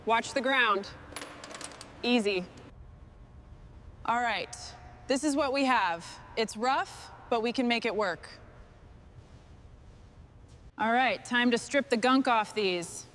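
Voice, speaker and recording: Female actor as truck restorer, higher voice, gritty and determined, short hands-on remarks over loud vehicle noise. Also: slow, long pauses. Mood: steady, businesslike. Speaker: mechanic. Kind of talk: scripted work chatter. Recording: noisy, with vehicle and machinery sounds.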